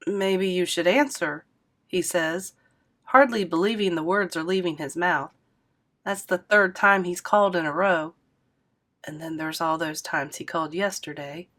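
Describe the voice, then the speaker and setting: A woman reading a middle-grade novel aloud as narrator, with steady, expressive storytelling. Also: fairly low pitch. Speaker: read-aloud host.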